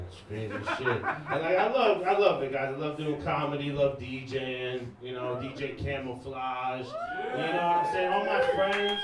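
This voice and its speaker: A man originally from Iran doing a stand-up set on a club stage, chatting loosely with the crowd and throwing in asides. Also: mid-range voice. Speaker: stand-up comedian and DJ. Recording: very echoey club room, mic far off, crowd loud. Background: audience laughter and cheering.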